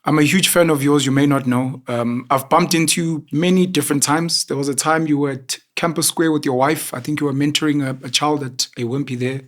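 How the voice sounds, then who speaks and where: A man's voice: on the high side, steady tone, a little fast, few pauses. A man hosting an interview, talking in an effusive, chatty and admiring way. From South Africa. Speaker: host. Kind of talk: interview conversation.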